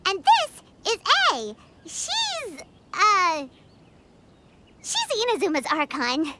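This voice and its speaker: Tiny female game fairy: extremely high, animated, perky and chatty, music behind. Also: slow pace, very noisy, heavily acted. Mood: weary, explaining. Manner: refers to self in third person, exclamatory. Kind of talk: anime game voice acting.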